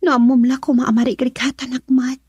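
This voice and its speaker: An actress playing a young woman in love in a radio drama, voicing her thoughts in a breathy half-whisper. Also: high-pitched, flat delivery, brisk, a little mellow, no echo, highs cut off. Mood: wistful.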